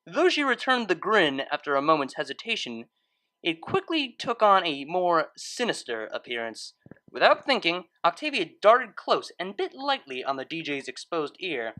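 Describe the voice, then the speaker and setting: A story reader with a bright, forward tone. The delivery is expressive and lively, moving freely up and down in pitch as the scripted narration unfolds. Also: moderate pace, rough recording. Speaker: audiobook-style fan fiction reader.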